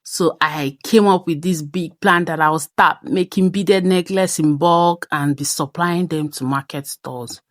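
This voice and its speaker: A young adult woman with a Nigerian accent tells a personal story in a conversational, expressive way, as a craft vlogger's voice-over. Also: sits low, unhurried, few pauses, dry recording, studio quality.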